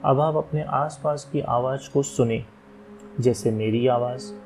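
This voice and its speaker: A man with a medium-pitched voice guides a meditation in calm, measured instructions. He leaves long, unhurried pauses between phrases. Meditation music plays clearly behind his voice. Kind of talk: guided yoga nidra meditation, slow soothing instructions.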